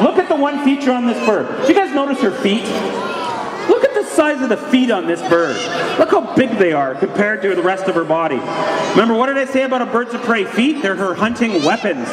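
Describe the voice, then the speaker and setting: A man with a fairly high voice runs a bird show for a crowd, upbeat and full of questions for the audience. His voice comes through a PA and rings around a big mall atrium. Crowd chatter and mall noise fill the space behind him. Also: roomy sound, in a large hall. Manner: upbeat, quizzing the audience, joking. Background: crowd chatter and mall ambience.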